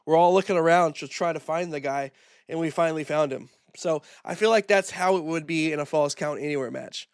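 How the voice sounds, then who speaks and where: Hoarse man ranting casually on a podcast. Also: on the high side, a little fast, no echo, studio quality. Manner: rambling, casual, lots of fillers and restarts. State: hoarse from recent illness. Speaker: podcast host. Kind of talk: solo wrestling opinion podcast.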